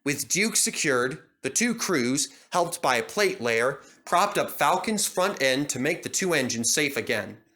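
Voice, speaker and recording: Bright, expressive male storybook narrator. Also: fairly high pitch, a little slow, hardly any pauses. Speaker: narrator and reader.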